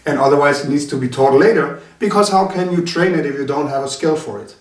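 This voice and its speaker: Male instructor, hesitant interview guest, roomy far-off sound. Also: on the high side. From Denmark. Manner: halting, many filler sounds. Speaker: martial arts instructor. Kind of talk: casual interview talk. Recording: roomy sound from a distant mic.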